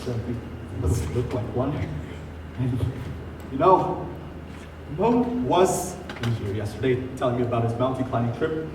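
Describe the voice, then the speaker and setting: A man's voice: mid-range voice, measured pace, long pauses, close to an everyday voice. A young man acting a pompous, oblivious middle-aged revolutionary in a comedic stage play, with declamatory, theatrical delivery. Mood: smug, oblivious. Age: young adult. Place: school stage, recorded from the audience. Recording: noisy echoey hall, distant mic.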